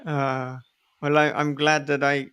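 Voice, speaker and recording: A man's voice: on the high side, level tone, measured pace, pushed and bright, clear, resonant tone. A man hosting a casual interview podcast, hesitant and often rephrasing himself. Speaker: podcast host and author interviewing a guest.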